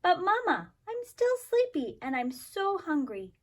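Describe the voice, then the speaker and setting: A woman's voice: very high voice, very animated, a little slow, voice pushed up, exaggerated voice. A woman voicing a clumsy, grumbly little girl in a picture book, whiny and exclamatory. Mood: sleepy whining.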